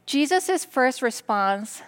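A middle-aged woman pastor from Korea, whose first language is Korean, preaching a sermon in slow, deliberate phrases with pauses. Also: high voice, big pitch swings.

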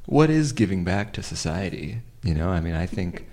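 A man in improvised radio theater playing a pushy, easily flustered young schemer who stammers and repeats himself excitedly. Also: low-pitched, moderate pace. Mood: earnest, pushy. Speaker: improv comedy performer.

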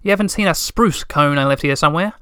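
A man's voice: a little high, fast talker, sharp tone, clear, resonant tone, no room sound, studio quality, pitched above the performer's own voice. A man voicing an absent-minded squirrel with a distracted, light manner.

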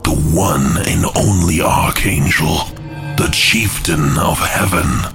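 Very deep, brooding male demon played by an actor. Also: vocal fry, exaggerated voice. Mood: brooding, persuasive. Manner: slow, deliberate, grave. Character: brooding male demon, scheming, discontented rebel angel. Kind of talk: fantasy audio drama acting. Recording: music under the voice. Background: dramatic music.

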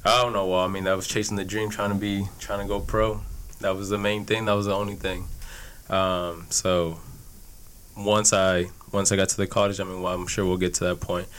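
A man's voice: low voice, level tone, a little fast, fairly bright tone. A young man from Houston answering casually as an interview guest, in a reflective, informal way. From Houston, Texas. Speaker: youth soccer coach, podcast guest.

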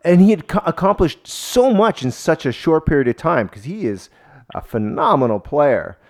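A man's voice: on the high side, very animated, moderate pace. A man in his late forties with a Canadian accent, interviewing a guest casually as a podcast host. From Toronto, Canada.

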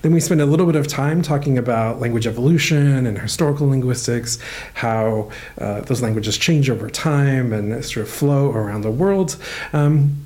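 Guy professor explaining stuff, um-ing and uh-ing, average pitch. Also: moderate pace, dark tone. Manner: thoughtful, many "um" and "uh" while explaining. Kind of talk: relaxed explanatory interview answers.

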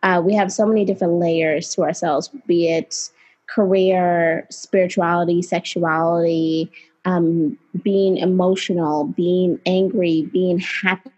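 A woman's voice: lowish voice, steady tone, unhurried, a little mellow. A woman in her late twenties, a writer and editor, talking earnestly and reflectively as an interview guest, with fillers. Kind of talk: casual interview talk. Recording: dull lo-fi audio.

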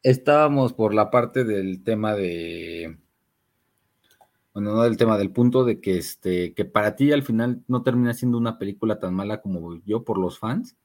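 A man's voice: fairly low pitch, normal speed, darkish tone. A young man with a Mexican Spanish accent talking about films in casual podcast chat, slangy and meandering with hesitant fillers. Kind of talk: casual chat, film discussion.

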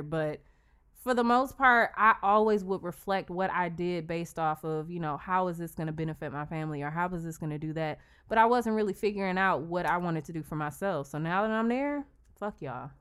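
A woman's voice: lowish voice, fairly bright tone, clean tone. Reflective young woman co-host. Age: 20s. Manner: reflective, supportive, playful. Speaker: podcast co-host. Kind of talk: casual chat.

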